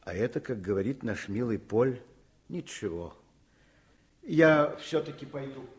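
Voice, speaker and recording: Very husky, kindly doctor. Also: male voice, medium pitch, expressive, measured pace. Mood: determined. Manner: gentle, repeats words, courteous. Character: kindly, stubborn middle-aged doctor and father. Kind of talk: Soviet radio drama acting.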